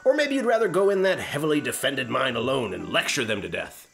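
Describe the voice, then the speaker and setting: Man acting a sarcastic space captain, blustering, pompous, very animated intonation, comic sci-fi radio drama. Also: medium pitch, fairly quick, creaky, faint music behind. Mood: sarcastic, impatient. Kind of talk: comic science fiction radio play.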